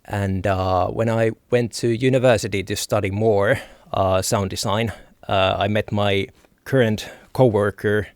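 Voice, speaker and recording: A man speaking as a guest in an interview, hesitant and pausing often with filler sounds. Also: lowish voice, fairly even intonation, no echo, clean studio sound. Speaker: guest, audio designer. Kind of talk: casual interview chat.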